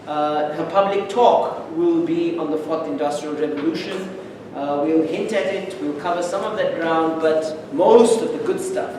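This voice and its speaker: Higher-voiced man hosting in echoey room. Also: normal speed. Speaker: seminar host introducing speaker. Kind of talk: informal academic introduction. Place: indoor seminar room. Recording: very echoey room, distant, noisy.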